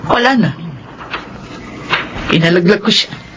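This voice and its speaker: A woman's voice: fairly low pitch, unhurried, noisy background, close to an everyday voice. A woman playing a stern but caring middle-aged Filipino mother with a painful past, in an earnest radio drama style. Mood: sorrowful. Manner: emotional, earnest, admonishing. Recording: music under the voice.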